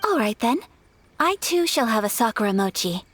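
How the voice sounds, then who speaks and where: An actress playing a refined, gentle young noblewoman in an anime-style game, speaking with formal courtesy and measured phrasing. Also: fairly high pitch, sing-song, soft background music. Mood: courteous, curious. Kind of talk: English-dubbed anime-style game acting.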